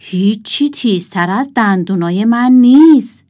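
Storybook wolf, performed by a woman: gruff braggart, menacing and grumbly. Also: medium pitch, a little mellow, close to an everyday voice. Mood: boastful menace. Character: boastful grumbling toothy toy wolf. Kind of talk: children's storybook character.

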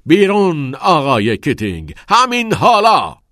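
A man voicing a furious, domineering school headmaster who shouts commands in an audiobook. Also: a little high, huge pitch swings, no echo, clean studio sound, voice pushed up. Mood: furious, shouting. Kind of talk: audiobook character voice.

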